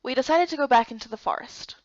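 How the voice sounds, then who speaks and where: A woman giving expressive, dramatic first-person narration for a visual novel story. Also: sits high, quick pace, no room sound, lo-fi sound. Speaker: narrator and voice actor.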